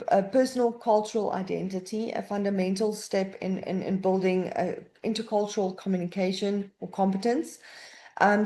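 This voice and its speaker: Mellow-voiced woman presenting a webinar. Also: mid-range voice. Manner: conversational, frequent fillers and self-corrections. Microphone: computer mic on a video call.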